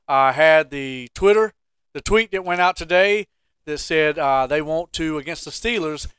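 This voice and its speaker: A man's voice: fairly high pitch, moderate pace, fairly bright tone, clear, resonant tone, no echo, clean studio sound. A man co-hosting casual sports banter, teasing and challenging with questions. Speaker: podcast co-host.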